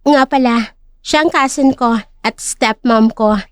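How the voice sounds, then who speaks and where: A woman's voice: on the high side, slow pace, smooth, dry recording, studio quality. A woman voicing a cool, firm young company owner in an audio drama. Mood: composed, assertive.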